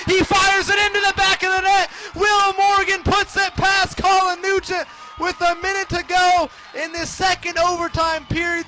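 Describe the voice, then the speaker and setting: High-pitched American man calling sports play-by-play. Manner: builds from measured description to excited shouted calls. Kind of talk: live radio sports commentary.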